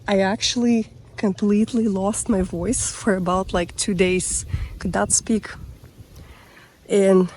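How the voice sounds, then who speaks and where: Hoarse woman vlogger talking outdoors on a trail, slow and unhurried, reflective, pitch sitting mid-range. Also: fairly even intonation, darkish tone, a little hiss or hum, poor audio. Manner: reflective, musing, loose with fillers. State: hoarse, recovering voice. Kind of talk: casual walk-and-talk vlog. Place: outdoors on a forest hiking trail.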